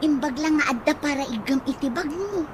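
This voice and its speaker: A woman's voice: sits high, fairly quick, close to an everyday voice. A woman playing a perky, cheeky young niece in a radio comedy drama, speaking with an Ilocano accent. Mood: cheeky, coaxing. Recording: noisy audio with birds.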